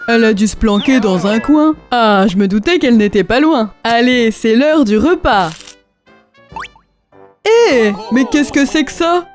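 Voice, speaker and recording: A woman voicing a fussy, food-loving grown-up lion parent in a children's cartoon, animated and playfully scolding. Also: on the high side, measured pace. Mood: puzzled, scolding. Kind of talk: children's cartoon voice acting in rhymes. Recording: music playing behind the voice.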